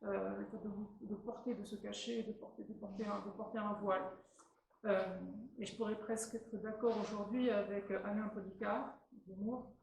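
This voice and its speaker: A woman's voice: medium pitch, fairly even intonation, creaky, a little mellow. Woman speaker in a very echoey room. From Tunisia. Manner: earnest, halting, many restarts. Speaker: invited speaker. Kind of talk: public talk at a meeting. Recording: very echoey room, faint noise.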